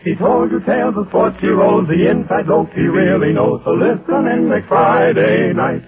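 A man's voice: high-pitched, huge pitch swings, moderate pace. A man singing as a performer on a vintage radio programme. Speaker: jingle singer. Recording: tinny vintage radio, loud music.

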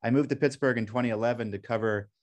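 A middle-aged man from Belgium talks through a radio interview as a guest. He sounds earnest and reflective, with a voice at an ordinary pitch. He often restarts his sentences as he thinks. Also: fairly even intonation, fast, darkish tone, dull audio. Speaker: guest, documentary director.

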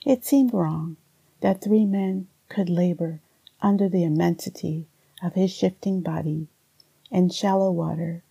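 A woman from Barbados, a poet, introducing and reading her work aloud in a measured, deliberate way. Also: fairly low pitch, creaky, mellow, breathy edge, no echo, rough recording. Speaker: poet and writing teacher. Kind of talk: poetry reading with spoken introduction.

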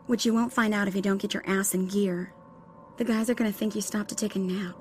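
A woman acting a wry, even-toned female operative. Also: medium pitch, a little fast, slight background noise, lightly acted. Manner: muttering inner monologue, sardonic. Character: young female ex-police operative, former thief, wry and determined. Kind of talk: dramatic audiobook character acting. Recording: music under the voice. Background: music.

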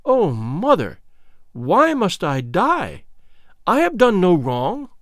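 A man's voice: high voice, huge pitch swings, takes it slowly, no room sound, studio quality, full character voice. A man voicing a terrified young woman who pleads and laments in trembling tones. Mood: pleading.

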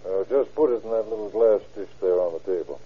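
An actor playing a patient, obliging small-town doctor in an old-time radio drama, explaining in a folksy way. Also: male voice, lowish voice, quick pace, breathy edge. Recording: tinny, band-limited old radio recording.

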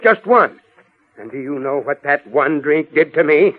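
A blustering, frazzled newspaper boss in a vintage radio drama. His middle-aged voice rides high and sputters with exasperation, hammering on repeated words. The sound is a dull old radio recording. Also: expressive, a little slow. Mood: rattled. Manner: exasperated, sputtering, emphatic repetition. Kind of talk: 1940s-50s radio drama acting.

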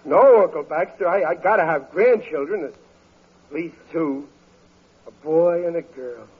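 A man's voice: on the high side, a little slow, husky. An actor playing a grumbling but good-hearted middle-aged American working-class father, folksy and ungrammatical, in an old radio comedy. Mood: blustery, folksy. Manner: folksy, ungrammatical, exasperated wisecracking. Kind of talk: 1940s radio comedy acting. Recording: muffled vintage radio recording.

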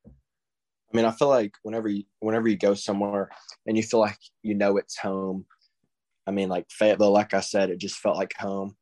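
Teen boy, Southern accent, low voice, monotone, laid-back, lots of "I mean". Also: a little fast. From Texas. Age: teens. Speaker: guest, high school baseball recruit. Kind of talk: casual interview answers.

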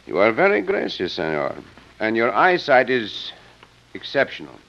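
A courteous Mexican adventurer in a vintage radio serial. His accented delivery is suave and wry, with animated pitch movement, on a slightly muffled old recording. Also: normal speed, vocal fry, heavily acted. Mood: wary courtesy. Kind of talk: 1940s-50s radio drama acting.

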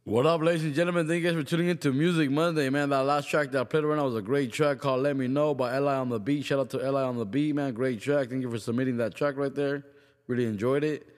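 A man hosting a music radio show, chatting casually and informally with slangy shout-outs. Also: medium pitch, fairly even intonation, fast talker, big-room sound.

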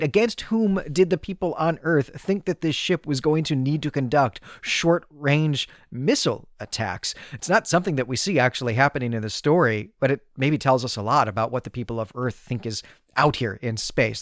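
A man hosts a podcast, talking through a story recap in a conversational way. His voice is mid-pitched and recorded close and dry, with no room sound. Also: no echo. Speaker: podcast host.

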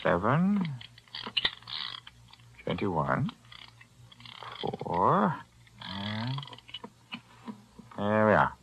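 An actor playing a shrewd, greedy older pawnbroker in a 1940s radio drama, smug and coolly bargaining. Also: male voice, fairly low pitch, wildly swooping pitch, drawn-out words, creaky, long pauses, dull audio, background noise, heavily acted. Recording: vintage 1940s radio broadcast.

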